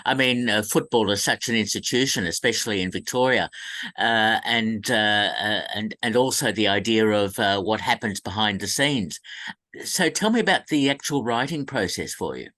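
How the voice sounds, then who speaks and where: A man hosting an arts interview in a halting way, with frequent uhs. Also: lowish voice, expressive, pushed and bright. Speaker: show host and interviewer.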